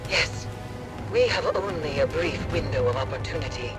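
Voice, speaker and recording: A woman playing a grave, cryptic mystic guide in a game cutscene, speaking in a solemn, ominous and measured way. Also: low-pitched, expressive, over music. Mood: urgent, ominous. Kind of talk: dramatic game cutscene acting.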